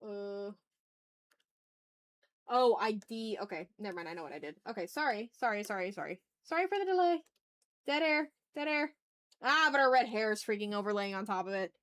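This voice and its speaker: A woman with a mid-pitched voice streams as an artist. She chats casually to viewers and half talks to herself as she works. Short phrases get repeated, mixed with mild exclamations. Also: expressive, normal speed, a bit bright, clean tone. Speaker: streamer, artist hosting a live art stream. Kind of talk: casual livestream chat, muttering to self while drawing.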